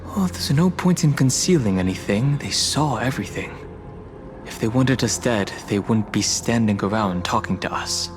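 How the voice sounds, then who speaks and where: An actor playing a calm, reserved young traveler in a game cutscene, terse and composed. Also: male voice, fairly low pitch, moderate pace, over music, lightly acted.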